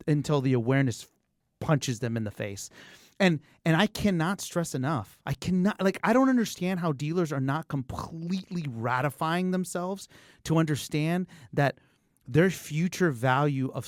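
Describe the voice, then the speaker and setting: An animated man in a business podcast conversation, with a pitch that swings widely. He hammers points by repeating them and slips into acted-out quotes, talking informally. The sound is dry and close. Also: dry recording. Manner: emphatic, repeats words for effect; informal, acts out imagined quotes. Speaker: car dealership service coach.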